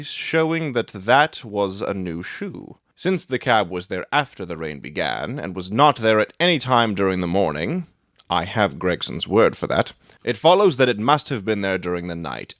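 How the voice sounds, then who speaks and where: A man performs a brilliant male detective for a dramatic audiobook. The voice sits low and swings widely in pitch as he lectures with precise, proud self-assurance, recorded dry and close. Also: a bit bright, dry recording, heavily acted. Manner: lecturing, matter-of-fact, self-satisfied.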